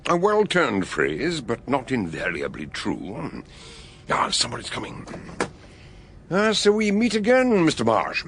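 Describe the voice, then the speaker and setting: An actor playing a ponderous, learned doctor sleuth who tells his case in a measured, theatrical, literary way in a radio drama. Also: mid-range voice, huge pitch swings, a bit bright, slight background noise, heavily acted. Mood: genial, knowing. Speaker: detective and narrator. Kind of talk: radio drama narration and dialogue.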